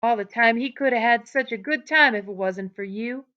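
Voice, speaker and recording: A woman reading aloud, voicing a stern old aunt who scolds in a sharp rural drawl. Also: on the high side, moderate pace, dull audio, voice pushed up, close to an everyday voice. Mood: scolding.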